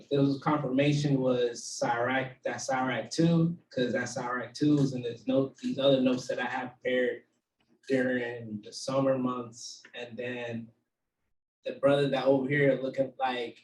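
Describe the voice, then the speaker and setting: Man, low relaxed voice, bible teacher, echoey room, distant mic. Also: measured pace, slight background noise. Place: room with a small group, also streamed online.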